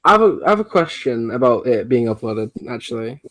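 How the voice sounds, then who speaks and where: A man's voice: medium pitch, big pitch swings, fairly quick. A man chatting casually and tentatively as a participant in a table read, asking questions with some hesitation. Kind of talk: casual chat.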